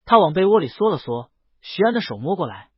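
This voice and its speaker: A man's voice: high-pitched, big pitch swings, quick pace, husky, dry recording, muffled recording. A man narrating a scripted romance novel as an audiobook, reading close to the mic in a dry recording. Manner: reads character dialogue within the narration.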